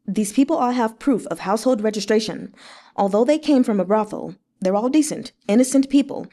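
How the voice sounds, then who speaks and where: A woman voicing an indignant male government official, forceful and accusing, in a story reading. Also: fairly high pitch, expressive, a little fast.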